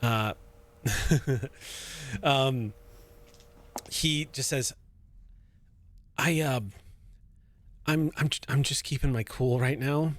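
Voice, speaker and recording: Man, mid-range voice, halting in-character player; nervous pilot, lots of restarts. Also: medium pitch. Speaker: tabletop player voicing a pilot. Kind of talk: in-character roleplay, casual.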